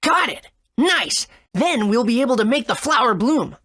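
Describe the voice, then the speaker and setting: An actor playing an eager, earnest young boy adventurer in a video game, with an excitable manner. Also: medium pitch, normal speed. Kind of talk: video game character acting.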